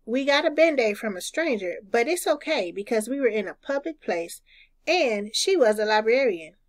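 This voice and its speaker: A woman voicing an eager, naive, excitable little boy in a children's storybook. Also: on the high side, moderate pace. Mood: earnest. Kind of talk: children's storybook read-aloud.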